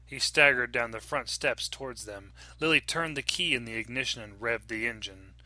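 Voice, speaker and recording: Male story reader, mid-pitched, sharp and intense delivery, dry sound, dramatic fiction narration. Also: moderate pace, no room sound.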